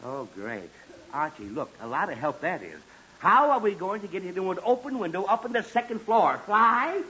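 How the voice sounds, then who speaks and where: A man's voice: sits high, big pitch swings, normal speed, sharp tone, exaggerated voice. An actor playing an exasperated middle-aged American father in an old-time radio comedy, blustering and stammering. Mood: weary, sarcastic. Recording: muffled, hissy old-time radio recording.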